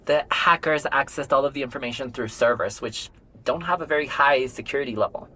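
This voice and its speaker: A man's voice: mid-range voice, a little fast, bright, forceful tone, low-quality recording. A man playing an exasperated bank IT worker in a podcast drama, explaining things insistently. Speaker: actor in an audio drama. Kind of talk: acted podcast drama dialogue.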